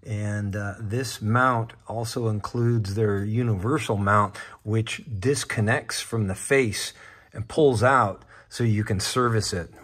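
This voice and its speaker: A man presenting a casual, unscripted installation walkthrough, talking haltingly as he works with his hands. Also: on the low side, fairly even intonation, fairly bright tone, breathy edge. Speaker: how-to video presenter.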